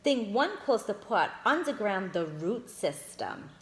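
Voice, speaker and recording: Slow, lively woman reading to children. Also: mid-range voice. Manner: addresses listeners as friends, pointing out pictures. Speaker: children's storyteller. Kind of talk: reading a picture book aloud to children. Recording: echoey room.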